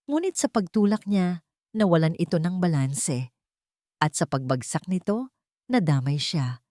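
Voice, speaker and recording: A woman's voice: lowish voice, lively intonation, measured pace, dark tone, dry recording, clean studio sound. A woman reading a dramatic romance story as a scripted narrator.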